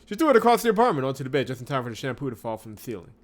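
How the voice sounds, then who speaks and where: A man hosting a visual novel playthrough, chatting casually and reading the story aloud in an expressive way with wry asides. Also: medium pitch, rapid-fire. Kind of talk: casual let's-play commentary with read-aloud narration.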